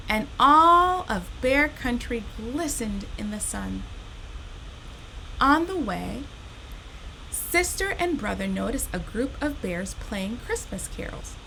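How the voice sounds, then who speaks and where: A woman hosting a children's storytime, reading aloud and speaking directly to young listeners in an animated, expressive, encouraging way. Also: a little high, slight background noise, rough recording.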